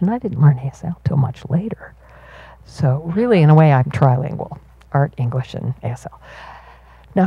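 A woman voicing a signed panel talk as an interpreter, in measured, halting phrases at an auditorium panel. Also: deep voice, vocal fry, warm tone, husky, dry recording, highs cut off.

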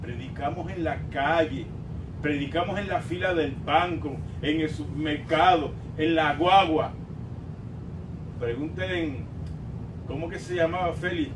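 Older puerto rican guy preaching hard in a small church, far from the mic, some rumbly noise behind. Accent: Puerto Rican Spanish. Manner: emphatic preaching, swinging from drawn-out emphasis to quiet asides. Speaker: pastor. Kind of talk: sermon. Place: small church sanctuary, indoors at a pulpit. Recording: roomy, distant mic, rumbling background noise.

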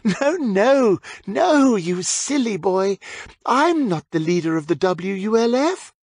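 A man's voice: high-pitched, very animated, slow pace, no echo, voice pushed up, full character voice. A man voicing a gleeful, cruel aristocratic villainess in an audiobook, condescending and theatrically polite. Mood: delighted mockery. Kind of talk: audiobook character voice.

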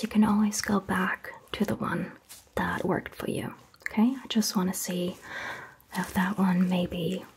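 A young woman whispering softly as a doctor in an ASMR roleplay, gentle and reassuring, recorded close to the mic in a small exam-room set. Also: mid-range voice, slight background noise. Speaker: ASMR creator playing a doctor. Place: indoor set styled as a small doctor's office.

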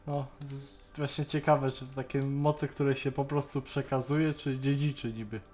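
A man's voice: medium pitch, level tone, a little slow, a little mellow. A man chatting casually on an online call, often stammering and repeating the starts of words. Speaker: co-host on a Skype call. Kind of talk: casual chat reviewing an animated series.

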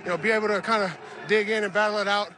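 A young male player giving a relaxed post-game interview on an outdoor field, into a handheld mic. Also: high voice, very fast. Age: young adult. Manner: easygoing, cliché answers with fillers. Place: outdoor stadium field, cold night.

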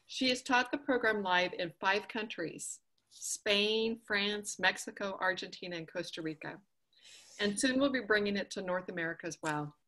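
A woman's voice: mid-range voice, normal speed, sharp tone, low-quality recording. A woman hosting an online summit, introducing her guest with effusive enthusiasm over a video call. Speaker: host.

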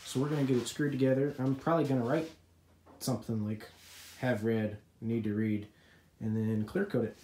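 A man's voice: mid-range voice, fairly even intonation, moderate pace, mellow. A young man vlogging a DIY woodworking build in a farm shed and porch workshop, joking and self-deprecating as he talks into a nearby phone camera. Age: young adult. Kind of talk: casual workshop vlog. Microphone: phone camera held or propped nearby. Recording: echoey room with clear background noise.

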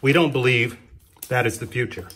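A man giving a plain, steady whiteboard-style explanation while drawing on sticky notes at a desk. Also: mid-range voice, moderate pace.

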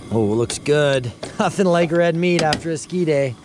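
Young male vlogger, chatty and upbeat, a bit high-pitched, outdoor camera audio with steady background noise. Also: normal speed. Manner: upbeat, chatty, laughs often, addresses viewers as people. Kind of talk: casual vlog while cooking. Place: outdoors in a snowy parking lot by a pickup truck. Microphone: camera mic, handheld and head-mounted point of view.

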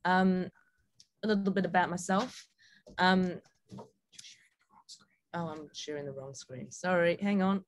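A woman speaks in a slightly low voice with a broad New Zealand accent. She presents informally as a guest speaker, with a casual, somewhat hesitant delivery. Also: fairly even intonation, slow pace, long pauses. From New Zealand and Sabah, Malaysia. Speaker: guest speaker, film director.

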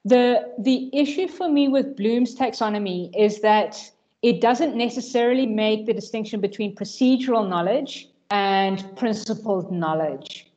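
A woman presenter answers seminar questions over a video call, pausing and restarting as she works through her thoughts. Her voice sits at a middle pitch. The sound is slightly dull. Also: a little mellow. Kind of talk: academic Q&A answer.

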